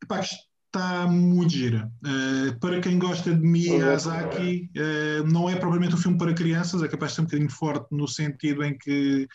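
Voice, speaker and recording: A man's voice: fairly high pitch, fairly even intonation, normal speed, a little mellow. A man, co-hosting a casual podcast chat and recommending a film, talking with many fillers and restarts. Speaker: podcast co-host. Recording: echoey, muffled room with faint noise.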